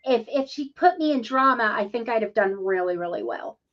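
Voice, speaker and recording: Female co-host, rambling and chatty, slightly high voice, muffled sound. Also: animated, fairly quick. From Ohio, USA. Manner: chatty, rambling, with many ums. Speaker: podcast co-host. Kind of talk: casual interview conversation.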